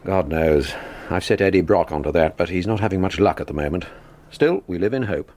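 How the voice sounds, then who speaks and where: An actor playing a measured, sceptical intelligence officer in a radio drama, polite but probing. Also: male voice, sits low, expressive, darkish tone. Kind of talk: naturalistic radio drama acting.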